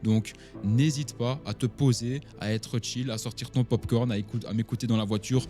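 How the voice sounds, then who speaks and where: Belgian man with a mellow voice. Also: medium pitch, steady tone, moderate pace, soft background music. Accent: Belgian French. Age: 20s. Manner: chatty, addresses the listener directly, many fillers. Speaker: online entrepreneur and vlogger. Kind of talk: casual talk to camera.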